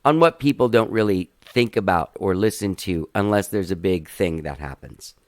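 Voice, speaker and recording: A man's voice: low-pitched, lively intonation, normal speed, dry recording, studio quality. A man hosting a podcast in casual chat, talking loosely with plenty of filler words. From Cuban family. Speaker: podcast host.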